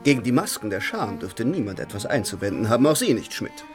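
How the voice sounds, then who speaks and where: An actor in a radio play as an urbane gentleman host, poised and theatrical, philosophising with deliberate pauses. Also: fairly low pitch, very animated. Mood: courtly. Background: cello music.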